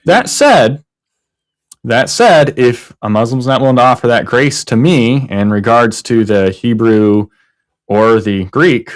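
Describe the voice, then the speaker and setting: A man co-hosting a live reaction stream, talking casually and acting out his opponents' replies. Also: a little slow. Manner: animated, mimics opponents in a higher voice.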